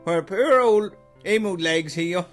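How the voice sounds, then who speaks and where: A man's voice: high voice, takes it slowly, faint music behind. A man playing a pedantic know-it-all castaway in a comedy podcast, mock-scholarly in manner. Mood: smug. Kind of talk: scripted comedy podcast acting.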